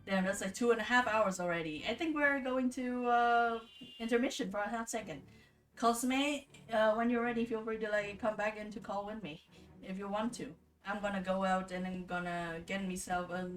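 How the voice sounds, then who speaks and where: A woman streamer reading stories and commenting casually, with an informal, halting, rambling way of talking. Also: medium pitch, steady tone, distant mic. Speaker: streamer reading folktales aloud. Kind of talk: casual livestream storytelling and commentary.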